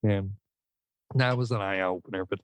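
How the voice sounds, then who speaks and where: A young adult podcast host talking in a relaxed, rambling way, full of fillers. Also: low-pitched, normal speed, clear, resonant tone, no room sound. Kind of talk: casual podcast conversation.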